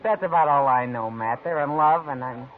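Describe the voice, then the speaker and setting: An actress playing a calm, discreet saloon woman in a 1950s radio drama, with a low, easy, guarded voice. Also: normal speed. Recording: tinny old radio broadcast with hiss.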